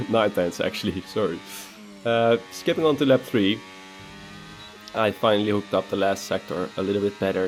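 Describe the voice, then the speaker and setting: A man, talking through his racing game laps in a laid-back, chatty voice-over while nursing a cold. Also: lowish voice. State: has a cold. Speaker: racing game content creator. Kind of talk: casual gameplay commentary voice-over.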